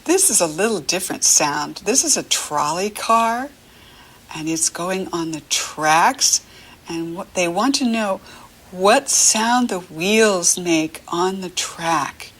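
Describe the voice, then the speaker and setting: A middle-aged American woman leading a read-along lesson for young children, encouraging and teacherly, pausing for the child to answer. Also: fairly low pitch, animated, a bit bright.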